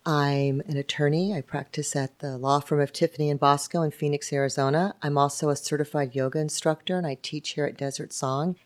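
A woman's voice: deep voice, steady tone, a little fast, hardly any pauses. A woman in her fifties, an attorney and yoga instructor, speaking thoughtfully and matter-of-factly in an interview. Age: 50s. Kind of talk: interview reflections.

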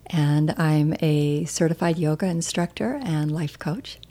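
A woman in her sixties speaks slowly and earnestly, a touch low in pitch, with soft laughs slipping in. She sounds like a yoga teacher and life coach giving her reflections for a radio feature. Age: 60s. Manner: slow, earnest, laughs lightly. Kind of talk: interview reflections.

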